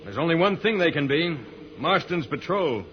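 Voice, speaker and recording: A man's voice: on the high side, very animated, normal speed. A man playing a heroic, decisive space pilot, earnest and commanding, in an old-time radio serial. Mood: grave. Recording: muffled, very noisy vintage radio recording.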